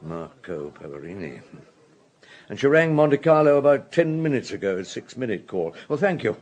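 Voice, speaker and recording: Radio drama police superintendent, calm and courteous, ordinary pitch, keeps pressing with questions. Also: male voice. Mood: brisk, courteous. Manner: measured, polite, quietly insistent questioning.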